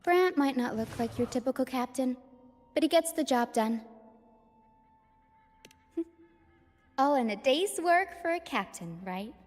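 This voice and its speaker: A woman's voice: high-pitched, lively intonation, unhurried, long pauses, darkish tone. An actress playing a cheerful, teasing young woman crewmate in a video game. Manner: teasing, bubbly. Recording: long hall reverb, faint music.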